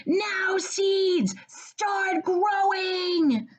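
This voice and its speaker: A woman's voice: extremely high pitch, extremely slow, lo-fi sound, pitched above the performer's own voice, exaggerated voice. A woman voicing an anxious, impatient male toad gardener in a children's storybook, exclaiming plaintively. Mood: loud, impatient. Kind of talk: children's storybook character reading.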